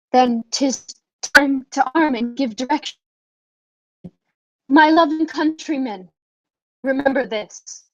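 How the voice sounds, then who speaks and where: Young woman playing resolute noble female war leader, high pitch, slow oratory, Shakespearean radio play, dull lo-fi audio. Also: no echo. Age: young adult. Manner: measured, oratorical. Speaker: acting student. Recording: dull, lo-fi sound.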